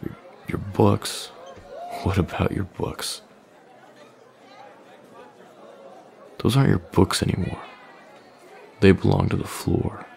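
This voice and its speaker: A man playing a smug, menacing young male bully in an intimate audio roleplay, taunting with slow, deliberate threats in a deep, gravelly voice. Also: a little mellow, a little hiss or hum, full character voice. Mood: smug, dismissive. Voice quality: deep, gravelly, breathy at line ends.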